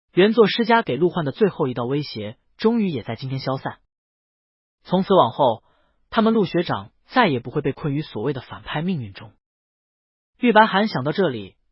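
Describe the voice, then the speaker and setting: A man's voice: on the high side, very animated, normal speed, slightly rough, no room sound, muffled recording. A man reading a web novel aloud as a scripted, dramatic audiobook narration.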